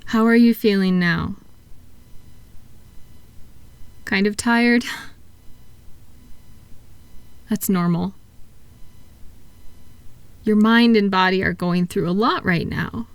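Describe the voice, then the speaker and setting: A woman acts out a gentle female therapist in a soothing audio roleplay. Her soft-spoken voice sits at an ordinary pitch for a woman, recorded dry with little room sound. She guides her patient patiently, with kind encouragement and reassurance. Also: a little slow, long pauses, clean tone, no room sound, natural voice.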